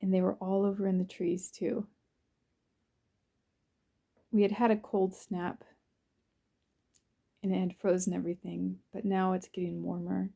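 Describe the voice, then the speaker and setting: A woman narrates a guided visualization in a soothing, unhurried way, in short phrases with pauses between them. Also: fairly low pitch, creaky, dark tone, husky. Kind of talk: guided visualization, first-person present-tense narration.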